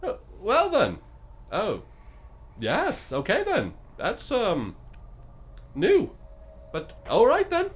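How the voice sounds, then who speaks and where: A man's voice: high-pitched, huge pitch swings, very slow, pauses often, slightly rough, voice pushed up, full character voice. A man voicing an ancient undead oracle spirit in a tabletop game, flippant, fussy and theatrical, with a condescending, hesitant way of talking. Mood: bemused surprise.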